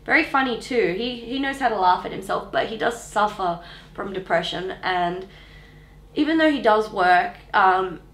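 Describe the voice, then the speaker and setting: A woman's voice: mid-range voice, expressive, moderate pace, a bit bright, echoey room. A woman in her twenties with an Australian accent, chatting casually and bluntly, with colloquial opinions. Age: 20s.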